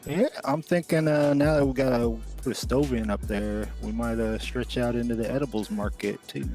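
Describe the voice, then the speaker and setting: A man playing a laid-back cannabis dealer in an improvised game roleplay, chatting in a halting, slangy way. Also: medium pitch, fairly even intonation, dark tone, faint music behind, lightly acted. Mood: chatty. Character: laid-back male cannabis shop businessman in crime roleplay. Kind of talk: improvised roleplay, casual chat.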